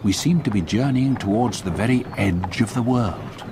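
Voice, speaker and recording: An actor playing an older English retired solicitor, narrating his memories in measured, literary radio drama style. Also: on the low side, noisy background, natural voice. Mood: reflective. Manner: measured, literary, vivid storytelling. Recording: clear outdoor effects behind narration.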